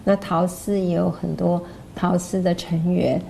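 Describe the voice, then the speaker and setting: Woman, mellow and slightly gravelly, informal course presenter with hesitant delivery, some background noise. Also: on the low side, level tone, unhurried, poor audio. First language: Mandarin Chinese. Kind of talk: informal explanation to camera.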